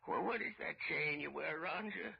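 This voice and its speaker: A man playing a crotchety, frightened old miser with a raspy, cracked voice and a stammering delivery in a radio drama. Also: fairly high pitch, fairly quick, full character voice. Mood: pleading. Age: older. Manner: stammering, querulous. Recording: muffled old radio recording.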